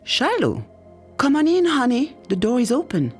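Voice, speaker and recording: A woman voicing an affectionate, frail older woman mentor in a storybook, tender and gently teasing. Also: sits high, huge pitch swings, moderate pace, soft background music, pitched above the performer's own voice. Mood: welcoming. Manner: tender, exclaiming, doting. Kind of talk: storybook character voice.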